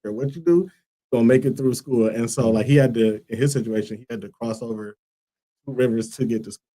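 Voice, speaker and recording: A man's voice: mid-range voice, steady tone, fast talker, warm tone. A man from Liberia, a guest on a talk show, who answers earnestly and thoughtfully with many fillers. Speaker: guest, school co-founder. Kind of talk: casual interview answers.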